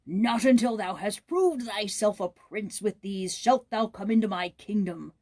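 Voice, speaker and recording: Woman as a fairy-tale king, haughty, scornful, pompous, slow declamation, storybook style. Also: mid-range voice, mellow, natural voice. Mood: stern, commanding.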